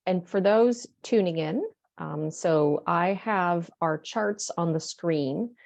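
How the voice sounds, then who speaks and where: A woman with a somewhat low voice hosts a live video broadcast. She speaks clearly and explains things to the people tuning in. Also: slow, a little mellow. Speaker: host of a live stream. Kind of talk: explaining to viewers.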